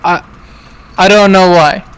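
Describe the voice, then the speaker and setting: High-pitched, bright-voiced man streaming games. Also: fairly even intonation, slow, clear, resonant tone, rough recording. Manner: rambling, repeats himself. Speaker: game streamer. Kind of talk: casual gaming chat.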